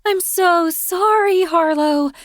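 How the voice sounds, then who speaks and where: A woman reading a novel aloud, voicing a bubbly, flustered young woman in a high, gushing voice. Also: slow, dry recording, studio quality. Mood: apologetic gushing.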